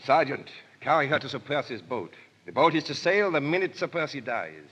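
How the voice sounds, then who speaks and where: An actor playing a cold, formal, sardonic French villain in a 1930s radio drama. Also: male voice, a little high, big pitch swings, brisk, a bit bright, slightly rough, exaggerated voice. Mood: coldly menacing. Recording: noisy 1930s radio recording, hall echo.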